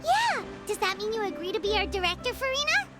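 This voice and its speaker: A woman voicing a tiny, excitable girlish companion who speaks in an eager, squeaky, blurting way, in a video game. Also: fast. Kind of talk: game voice acting. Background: game music.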